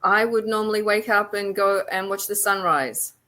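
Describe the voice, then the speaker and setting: A woman with an Australian accent speaking as a podcast guest, chatting casually in halting, reflective phrases. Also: medium pitch, fairly even intonation, sharp tone. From rural Australia. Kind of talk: casual interview chat.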